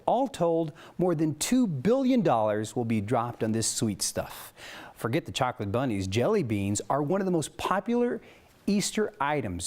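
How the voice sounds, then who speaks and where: A man's voice: fairly high pitch, a little mellow. A man with an American accent anchoring a TV newscast, reading scripted stories and chatting lightly between them. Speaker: TV news anchor.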